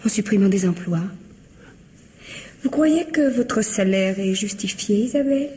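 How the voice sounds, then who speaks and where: Casting: woman playing icy widow in a radio mystery, mellow and cutting, mockingly polite, noisy recording. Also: medium pitch, expressive, a little slow, lightly acted. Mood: taunting, triumphant. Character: cold, vengeful widow taunting her greedy in-laws. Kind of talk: French radio mystery drama acting.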